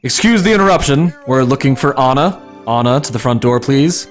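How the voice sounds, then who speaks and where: Offhand male ogre for comic fanfic, read by a performer; gruff, deadpan, medium pitch, music underneath. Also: brisk, lightly acted. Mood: mock official. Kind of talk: dramatic reading of comic fan fiction.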